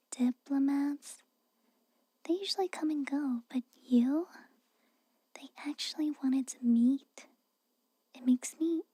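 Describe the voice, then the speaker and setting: A young tsundere castle maid, acted by a woman, whispers close and dry in an ASMR fantasy roleplay. She is haughty and guarded, chiding with reluctant care. Her breathy voice is very mellow and unhurried, with hesitant pauses. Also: high-pitched, no echo. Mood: uneasy, suspicious.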